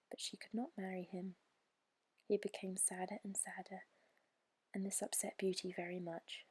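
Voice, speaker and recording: Breathy whispering woman reading a bedtime story. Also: medium pitch. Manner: soft, unhurried, soothing. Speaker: storyteller, ASMR channel host.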